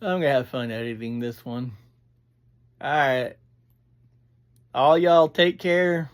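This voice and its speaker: A reviewer, chatting casually in a halting, digressive way as host of a review. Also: mid-range voice. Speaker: audio drama reviewer, host. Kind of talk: casual rambling review chat.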